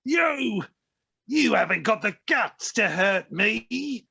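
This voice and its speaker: An actor as a creaky, bullying weasel villain. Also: mid-range voice, big pitch swings, slow, sharp tone, heavily acted. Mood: taunting, sly. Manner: taunting, contemptuous. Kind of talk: audio play acting. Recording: home recording over video call.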